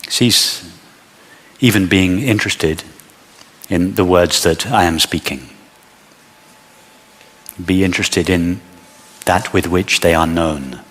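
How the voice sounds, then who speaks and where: A man leading a guided meditation as a teacher, speaking in measured short phrases with long pauses. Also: deep voice, fairly bright tone, long reverb tail.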